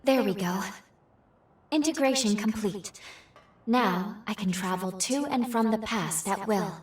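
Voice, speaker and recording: An actress playing an earnest, purposeful female companion with time-travel powers in a video game, composed and deliberate. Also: mid-range voice, roomy sound, far from the mic, natural voice. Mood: satisfied, resolved. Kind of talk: video game cutscene acting.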